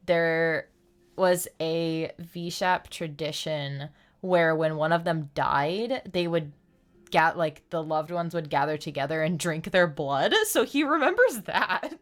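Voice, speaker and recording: A woman chatting casually on a live game stream, explaining things in a rambling, chatty way over game music. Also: sits low.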